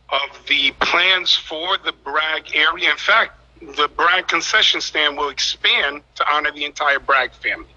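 A man speaking as mayor, addressing a city council meeting in a measured, formal and emphatic way. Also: a little high, pushed and bright. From United States. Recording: dull lo-fi meeting audio.

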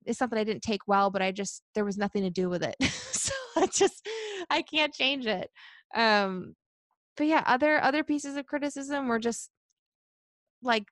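Young woman, high-pitched voice, energetic and chatty, quick laughs, dry close sound. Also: no echo. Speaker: sports reporter. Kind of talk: interview answers, casual.

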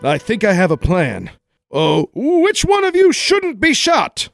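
An actor playing a hesitant but sensible adventurer sidekick, in old radio serial style. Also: male voice, sits high, wildly swooping pitch, slow pace, creaky, faint music behind, heavily acted. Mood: worried. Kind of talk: parody 1940s radio serial acting.

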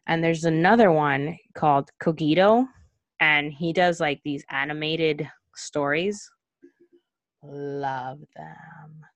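A young woman with a notably low-pitched voice chats casually as a podcast co-host, laughing easily and filling pauses with ums. The sound is dry and close, with no room around it. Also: no echo. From Cuba. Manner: chatty, laughs often, trails off with ums.

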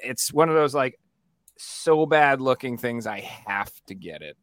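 A man co-hosts a podcast over a video call, excitable and full of slang, swearing freely. His voice is bright and tense, recorded with a dry, close sound. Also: medium pitch, measured pace, no room sound. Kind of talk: casual chat.

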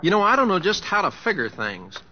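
Bright, folksy American cowboy husband. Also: male voice, high-pitched, wildly swooping pitch, soft background music, heavily acted. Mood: puzzled. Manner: folksy, plain-spoken, drawn-out musing. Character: Will Rogers, humble, folksy cowboy husband, boyish and affectionate. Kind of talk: 1950s radio drama acting. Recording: dull old radio broadcast.

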